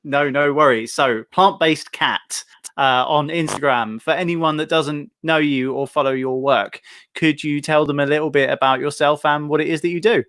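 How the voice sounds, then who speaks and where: Young man, host, video-call interview, enthusiastic, chatty, smooth voice, dry sound. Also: fairly high pitch, normal speed, a bit bright, no echo. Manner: enthusiastic, chatty, frequent fillers and restarts.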